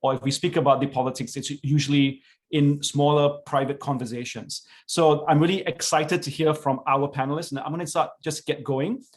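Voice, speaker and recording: A middle-aged man, a professor, hosting an online panel over a video call. He talks in a relaxed, halting way with many fillers. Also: on the high side. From born in South India, raised in Singapore.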